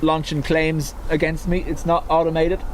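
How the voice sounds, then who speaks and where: A man's voice: a little high, flat delivery, moderate pace, a little hiss or hum. An Irish man hosting a live stream, chatting casually with viewers and laughing easily as he answers their messages. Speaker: livestream host. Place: outdoors beside an airport.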